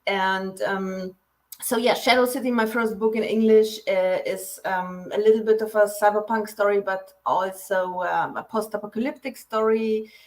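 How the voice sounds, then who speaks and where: A woman's voice: medium pitch, steady tone, normal speed, a little mellow. Woman with a Polish-German accent. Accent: Polish-German mix. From Poland, raised in Germany. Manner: stammering restarts, frequent ums, laughs easily. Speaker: guest author. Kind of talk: casual interview.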